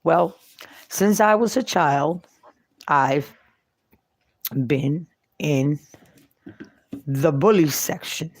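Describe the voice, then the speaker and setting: A middle-aged woman with a New York Puerto Rican accent, telling her life story off the cuff in short, halting phrases with long pauses. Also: sits low, no room sound. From Puerto Rico and New York. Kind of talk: unscripted personal storytelling.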